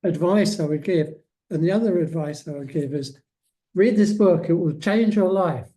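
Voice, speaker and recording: A man speaking as an interviewed author, in a reflective, conversational way with measured, hesitant phrasing. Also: on the high side, dark tone.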